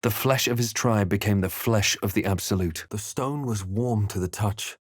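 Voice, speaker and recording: Male actor as composed adventurer, low pitch, dry close sound, deliberate game narration, brooding. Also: no echo, lightly acted. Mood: grave. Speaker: player character voice. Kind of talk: scripted game narration and character lines.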